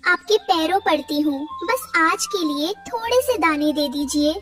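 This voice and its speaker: A woman's voice: extremely high pitch, expressive, measured pace, recorded from a distance, music in the background. A woman voicing a poor, humble mother bird in a cartoon, devoted and deferential. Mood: desperate pleading. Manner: pleading, deferential.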